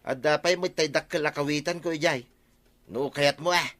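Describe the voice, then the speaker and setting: A man playing a bright-voiced teasing husband. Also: a little high, normal speed, close to an everyday voice. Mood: jovial. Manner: teasing, bantering. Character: easygoing husband who loves drinking with friends. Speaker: radio drama actor. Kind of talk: comic radio drama acting.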